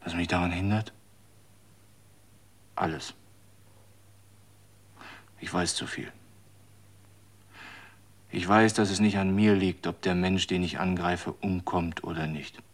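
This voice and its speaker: A man playing a weary, bored figure who speaks slowly and sometimes sinks into whispers. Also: deep voice. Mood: bitter. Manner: weary, flat, slow, drops to whispers. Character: bored man longing for any excitement. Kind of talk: radio drama acting.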